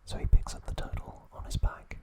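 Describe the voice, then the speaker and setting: A man hosting ASMR, reading trivia in a breathy, hushed, soothing whisper. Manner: slow, hushed, soothing delivery. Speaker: ASMR video host.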